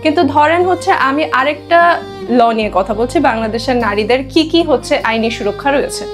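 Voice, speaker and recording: A young woman with a Bangladeshi accent and a slightly high-pitched voice talks in a casual interview style. She slips often between Bangla and English phrases. Faint music plays behind her. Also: animated, normal speed. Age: young adult. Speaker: interview guest, trainer and content creator.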